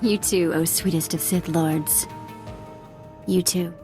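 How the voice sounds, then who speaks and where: A woman's voice: lowish voice, fairly even intonation, slow pace. An actress playing a cheeky, loyal young female sidekick in a video game, casual and teasing in manner. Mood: affectionate, teasing. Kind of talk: video game cutscene acting. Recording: loud game music under dialogue. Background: game score.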